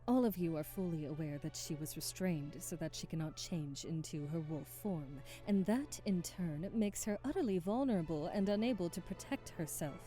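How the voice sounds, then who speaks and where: A woman's voice: on the low side, moderate pace, hardly any pauses, warm tone, soft background music, lightly acted. A woman playing a haughty, aristocratic vampire hostess in an ASMR audio drama, speaking in a formal, theatrical and commanding way. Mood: irritated, stern.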